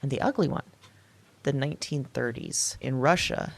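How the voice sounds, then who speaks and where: A woman's voice: a bass voice, normal speed, vocal fry, full character voice. A woman playing a shaken, thoughtful diarist in an intimate audio drama monologue, speaking hesitantly in broken, reflective phrases. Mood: resolved, wondering.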